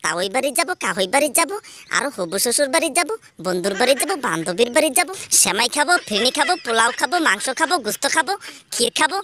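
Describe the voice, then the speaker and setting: A woman's voice: on the high side, big pitch swings, fast, bright, forceful tone. A woman voicing a greedy, chatty cartoon schoolboy who rattles off long lists. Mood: eager.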